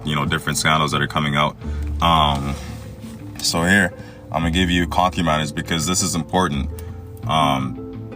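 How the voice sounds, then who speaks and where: A man born in Egypt hosting a podcast, talking slowly with many fillers and restarts while reading aloud. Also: a bass voice. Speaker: podcast host.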